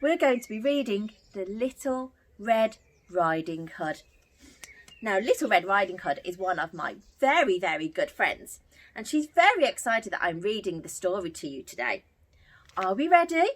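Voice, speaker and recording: A woman telling a story to young children as an entertainer, theatrical and expressive. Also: medium pitch, moderate pace.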